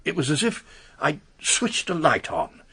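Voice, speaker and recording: Casting: nervous upper-class English knight, radio drama, husky mid-range voice, hesitant, lively intonation. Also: male voice, on the high side, measured pace, heavily acted. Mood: uneasy confiding. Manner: hesitant, stammering, polite. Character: anxious titled English gentleman confessing under questioning. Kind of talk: British radio detective drama acting.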